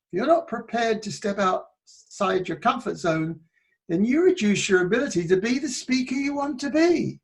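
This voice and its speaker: A man giving a motivational talk on public speaking, moving between loud and soft delivery as he tells stories. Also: high voice. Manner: shifts between loud and soft, playful with his voice.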